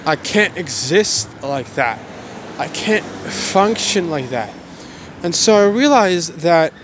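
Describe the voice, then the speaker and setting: A man of twenty-one giving a motivational personal monologue in a halting, repetitive, emphatic way. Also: fairly high pitch, background noise.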